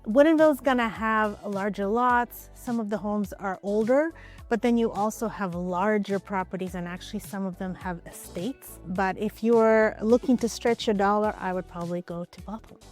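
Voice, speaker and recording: A woman from Ukraine, a real estate agent, answering interview questions in a relaxed, conversational way, often hedging with "I would probably say.". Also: mid-range voice, moderate pace, faint music behind. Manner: relaxed, conversational, with frequent hedges.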